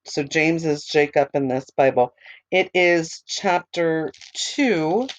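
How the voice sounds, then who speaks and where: A woman teaching a Bible study, reading scripture aloud and then explaining it with many ums and restarts, her voice a little hoarse. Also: low voice, slow, slight background noise, rough recording. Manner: reads verses steadily, then talks loosely with many ums and restarts. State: losing her voice, a bit hoarse. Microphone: online recorder, computer setup.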